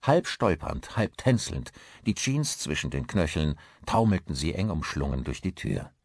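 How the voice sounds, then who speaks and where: A male narrator with a very deep voice reads scripted horror fiction as an audiobook. The sound is dry, with hardly any room to it. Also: sing-song, a little slow, vocal fry, dry recording.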